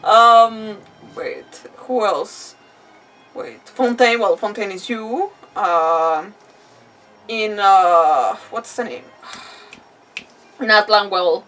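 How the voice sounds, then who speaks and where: A woman livestreamer giving casual gameplay commentary, thinking aloud in a halting, giggly way. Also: mid-range voice, fairly bright tone, far from the mic, a little hiss or hum. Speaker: game livestreamer.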